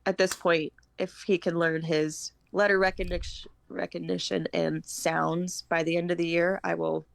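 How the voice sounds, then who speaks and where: A woman's voice: fairly low pitch, little pitch movement, moderate pace, gravelly, few pauses, dry recording. A woman, chatting casually as a guest on a homeschooling podcast. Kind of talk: casual chat.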